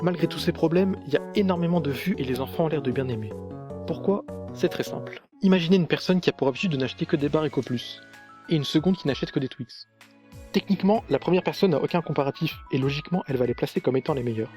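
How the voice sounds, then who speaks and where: Guy doing snarky review narration, really quick, bit of music under it. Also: fairly high pitch, darkish tone. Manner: sarcastic, critical. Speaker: video reviewer. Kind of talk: scripted commentary narration.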